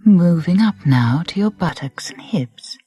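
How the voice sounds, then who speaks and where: A woman narrating a guided meditation in a soft, breathy voice, soothing and deliberate, with gentle phrasing and pauses. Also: fairly low pitch, lively intonation, no echo. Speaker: relaxation guide. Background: music.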